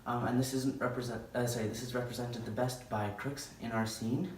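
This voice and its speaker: A man's voice: mid-range voice, level tone, a little fast. A young male student giving an explanatory class presentation indoors, on a distant camera. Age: young adult. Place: indoor room with curtained window. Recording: echoey room, distant camera mic, faint noise.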